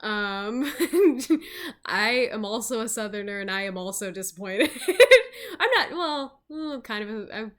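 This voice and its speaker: A slow, unhurried woman from Virginia runs a tabletop game as its game master. She rambles through scene descriptions, filling gaps with ums and likes and breaking into laughter. Her voice sits at a middling pitch. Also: pushed and bright, smooth. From Virginia, USA. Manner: rambling, laughs often, frequent fillers.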